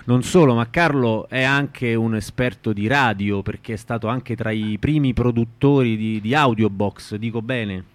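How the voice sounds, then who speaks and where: A man hosts a radio interview in an average-pitched voice. He speaks off the cuff and hesitantly, searching for words, in a dry, close sound. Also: moderate pace, hardly any pauses, no echo. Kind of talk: unscripted interview talk.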